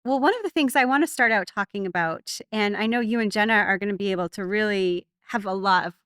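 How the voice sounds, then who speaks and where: A woman interviewer with a mid-range voice asks friendly, leading questions in relaxed conversation. The sound is dry and close. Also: mid-range voice, fast, clear, resonant tone, no room sound. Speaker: interviewer, host. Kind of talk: casual interview chat.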